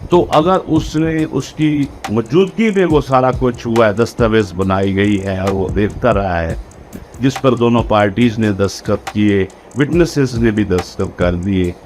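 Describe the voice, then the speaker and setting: A man's voice: fairly low pitch, measured pace, a little mellow, faint music behind. A man with a Pakistani accent giving an explanatory law lecture, didactically repeating and rephrasing points and mixing in English legal terms.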